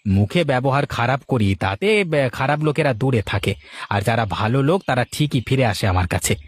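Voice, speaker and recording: A man's voice: medium pitch, lively intonation, a little fast, no echo, close to an everyday voice. A man voicing a proud, honest, blunt village food-stall owner in a moral cartoon, speaking in a self-assured way. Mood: proud, sincere. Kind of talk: Bengali moral cartoon acting.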